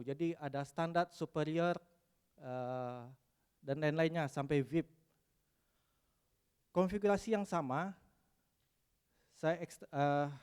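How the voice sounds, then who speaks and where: A man's voice: on the high side, darkish tone, smooth. Hesitant Sumatran man presenting at a conference. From West Sumatra, Indonesia. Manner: hesitant, frequent "eh" fillers, mixes in English terms. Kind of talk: technical presentation.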